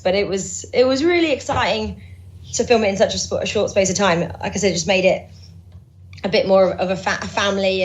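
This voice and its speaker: A woman's voice: medium pitch, highs cut off. A woman from Norwich who works as a sports presenter, chatting upbeat in an interview with lots of fillers and restarts. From Norwich, England. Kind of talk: casual interview chat.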